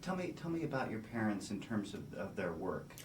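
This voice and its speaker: A man asking questions in an oral history interview, halting and repeating words as he goes. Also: on the low side, big pitch swings, echoey room, recorded from a distance, slight background noise.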